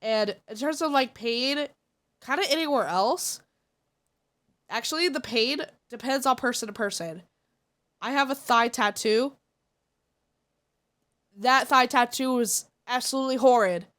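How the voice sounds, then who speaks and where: Woman streamer, slightly high voice, stuffy nose, frank and opinionated casual chat. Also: unhurried, long pauses, a bit bright. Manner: blunt and opinionated. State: congested.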